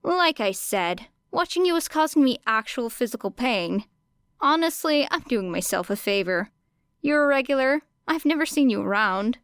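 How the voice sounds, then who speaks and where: A woman's voice: a little high, animated, normal speed, a bit bright, smooth, no room sound, studio quality, voice pushed up. A woman voicing a brash, teasing young female street fighter who coaches bluntly and casually in an audiobook. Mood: breezy, teasing. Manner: blunt, cocky, casual, sweary. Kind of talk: audiobook character dialogue.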